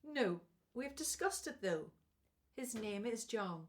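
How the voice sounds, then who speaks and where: A firm but loving elderly wife in a radio drama. Her voice is high and highly expressive, with a brisk, assertive manner. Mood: firm, impatient. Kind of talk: radio play acting.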